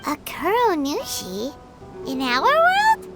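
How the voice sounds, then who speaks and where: A woman voicing a tiny, bubbly, curious fairy companion in a video game, with a squeaky, childlike, expressive delivery. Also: slow, exaggerated voice. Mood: puzzled. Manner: exclamatory, expressive, speaks as "we". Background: loud game music.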